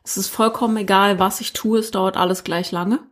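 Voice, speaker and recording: A chatty woman co-hosting a podcast, talking casually and poking fun at herself. Her voice sits at an ordinary middle pitch, and she scatters fillers through her sentences. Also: steady tone, a little fast. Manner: chatty, self-deprecating, many fillers. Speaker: podcast co-host. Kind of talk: casual chat.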